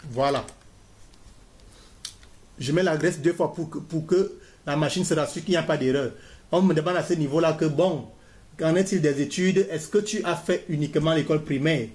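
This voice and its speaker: A man's voice: on the high side, a little mellow. A man giving a step-by-step tutorial, repeating key points as he fills in an online form. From Cameroon. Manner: talks viewers step by step through a form, repeating himself. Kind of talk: screen-recorded walkthrough.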